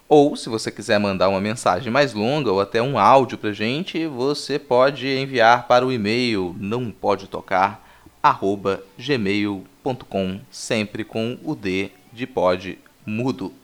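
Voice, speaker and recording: A man with a Brazilian accent hosting a podcast, talking in an informal, conversational way. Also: medium pitch. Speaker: podcast host. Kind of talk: podcast sign-off and casual chat.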